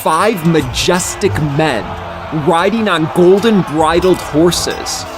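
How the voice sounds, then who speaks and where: A male narrator delivers scripture as drama, with a slow and solemn tread. His voice sits a little high for a man. Loud music plays underneath him throughout.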